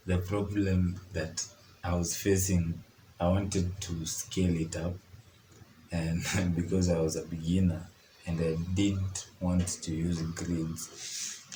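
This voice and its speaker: A hoarse young man with a low, mellow voice talks slowly through his old sketches. His delivery is flat and chatty, with plenty of fillers, and his voice bounces around a roomy space. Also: a little hiss or hum. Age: young adult. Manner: informal, chatty, frequent fillers. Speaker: artist. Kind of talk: casual art tutorial chat.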